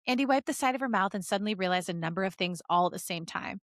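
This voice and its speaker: A woman podcast host reading fan fiction aloud as an expressive story narrator. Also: medium pitch, fast, no echo, studio quality.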